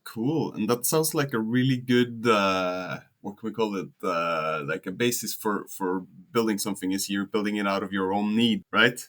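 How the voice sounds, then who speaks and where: A man's voice: on the low side, moderate pace, few pauses, fairly bright tone. A man hosting a podcast, asking questions and responding in a casual conversational style. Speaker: interviewer, podcast host. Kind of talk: casual interview chat.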